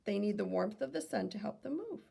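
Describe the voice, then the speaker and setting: A woman reading a children's nonfiction book aloud in a teacherly way, pausing for questions and small self-corrections. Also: mid-range voice, expressive, vocal fry, dark tone, husky. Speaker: read-aloud reader and teacher.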